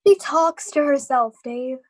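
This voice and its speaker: Woman acting a worried, higher-voiced mother. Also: measured pace. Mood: uneasy. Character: worried, gentle mother and wife, anxious about her daughter. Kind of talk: live radio drama acting.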